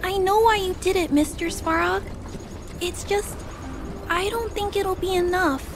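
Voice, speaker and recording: A gentle little girl from a video game, talks slowly in a very high voice. She is polite, hesitant and earnest, with music playing behind her. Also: fairly even intonation. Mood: worried, pleading. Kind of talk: video game character dialogue.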